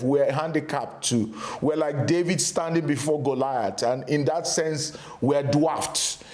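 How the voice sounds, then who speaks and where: A man's voice: a little high, a little mellow. A man hosting an interview show, asking questions and giving earnest, preacher-like reflections. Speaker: podcast host. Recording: echoey room.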